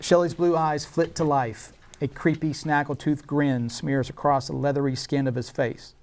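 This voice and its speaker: A man's voice: on the high side, fairly even intonation, normal speed, darkish tone. A man reading scene descriptions and stage directions as the narrator of a screenplay table read.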